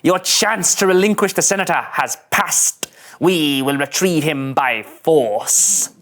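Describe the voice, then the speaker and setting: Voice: medium pitch, a bit bright, voice pushed down. Woman playing an imperious, menacing alien commander. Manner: slow, threatening, pompous. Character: menacing gloating Romulan warbird commander, hostile and imperious. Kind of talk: table-read villain acting.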